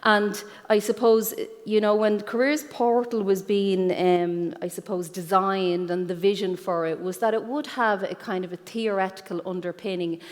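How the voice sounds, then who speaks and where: An Irish woman giving an explanatory conference presentation as a guest speaker, pausing often with ums. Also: medium pitch, steady tone. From Ireland. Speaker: guest speaker, careers guidance professional. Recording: echoey hall with long reverb.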